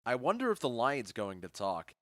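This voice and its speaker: Man acting a wry, animated noble spectator. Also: medium pitch, moderate pace, no echo, clean studio sound. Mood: amused. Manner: wry, mocking. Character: wry noble man watching a bad play. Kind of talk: audio drama dialogue.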